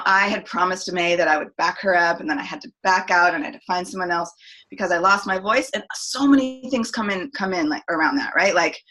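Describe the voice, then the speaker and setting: A woman's voice: on the low side, pushed and bright, poor audio. A woman, a singer and voice coach, talking as a podcast guest and telling a reflective personal story with many restarts and fillers. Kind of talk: casual podcast interview.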